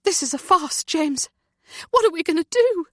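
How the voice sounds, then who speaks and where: A woman's voice: very high voice, expressive, normal speed, no room sound, voice pushed up. A woman voicing a panicking mother who is close to screaming, in an audiobook. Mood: panicked. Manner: near-screaming, desperate. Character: panicking mother, frantic and shrill.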